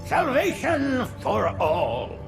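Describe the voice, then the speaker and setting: A high, creaky old alien holy man, frail but zealous. He preaches rhapsodically in sweeping tones for a game cinematic, music underneath. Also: wildly swooping pitch, very slow, heavily acted. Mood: rapturous, pious. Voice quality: creaky, thin elderly voice. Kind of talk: cinematic video game acting.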